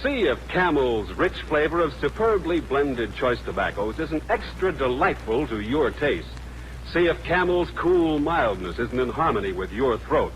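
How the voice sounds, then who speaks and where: Male announcer, medium pitch, persuasive, swooping intonation, 1940s radio commercial, faintly noisy old recording. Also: big pitch swings, unhurried. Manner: polished, persuasive.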